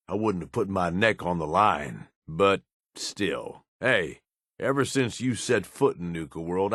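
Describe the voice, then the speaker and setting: An actor voicing a tough, cynical middle-aged American raider in a video game, gruff and folksy in a plain-spoken way. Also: male voice, deep voice, a bit bright, dry recording, clean studio sound, full character voice. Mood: firm. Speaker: companion character in a video game. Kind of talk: acted video game dialogue.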